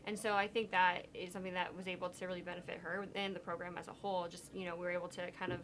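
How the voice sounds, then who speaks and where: A woman's voice: lowish voice, little pitch movement, rapid-fire, crowd noise. A woman, an associate athletic director, answering interview questions earnestly with many fillers.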